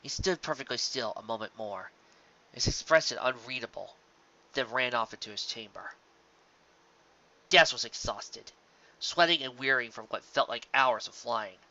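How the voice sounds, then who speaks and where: Amateur audiobook narrator, mid-pitched and forceful, measured dramatic delivery, dull lo-fi sound. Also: animated. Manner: measured, occasionally stumbling over words. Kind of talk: scripted fan fiction narration, dramatic reading.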